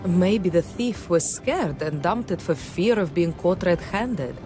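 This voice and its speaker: A woman playing a composed, smoothly helpful lady passenger in scripted game dialogue. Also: on the low side, animated, slow pace, over music.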